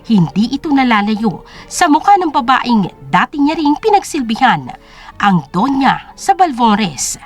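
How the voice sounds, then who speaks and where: A woman's voice: on the high side, very animated, measured pace, faint music behind. A woman reading scripted dramatic narration as the storyteller of an audio drama.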